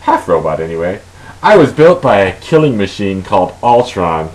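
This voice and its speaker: A man's voice: deep voice, very animated, pitched below the performer's own voice, low-quality recording. Man playing hesitant half-robot teenage boy. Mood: sheepish. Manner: hesitant, halting.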